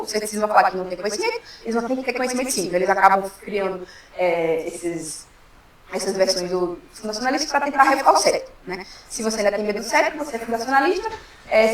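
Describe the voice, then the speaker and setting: A woman with a Brazilian accent giving an explanatory academic talk in an informal way, often adding little tag questions to check in with listeners. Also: on the high side. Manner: informal, frequent tag questions seeking assent. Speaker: academic workshop speaker. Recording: very echoey room, distant microphone.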